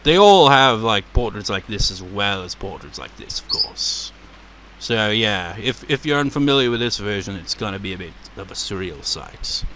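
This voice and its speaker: A man with a deep voice giving casual, rambling commentary as a let's play host, laughing and swearing as he goes. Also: very animated, normal speed, pushed and bright, a little hiss or hum. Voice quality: naturally deep voice.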